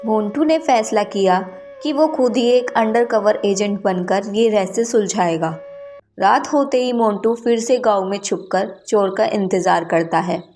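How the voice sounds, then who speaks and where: A woman's voice: medium pitch, a little slow, a little mellow, faint music behind. A woman reading scripted narration for a children's cartoon story.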